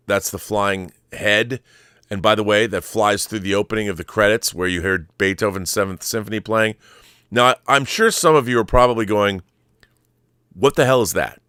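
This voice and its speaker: A man's voice: fairly low pitch, pushed and bright, clean tone, dry recording, studio quality. A middle-aged man hosting a show with casual film commentary, rambling enthusiastically and often digressing. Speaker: show host.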